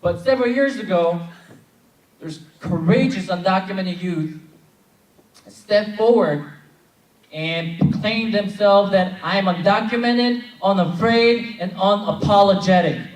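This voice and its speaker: A man's voice: high voice, measured pace. A young man in his twenties, from South Korea, moderating a convention plenary and leading chants from the stage. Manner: leads call-and-response chants. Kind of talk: convention speech. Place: large convention hall with audience. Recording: echoey hall, distant mic, crowd noise.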